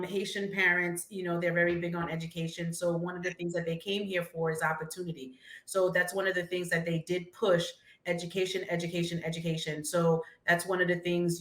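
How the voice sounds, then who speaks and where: A woman's voice: on the low side, sharp tone. A woman, answering interview questions in a friendly way with frequent filler words. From Brooklyn, New York. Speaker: guest, finance entrepreneur. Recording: echoey room.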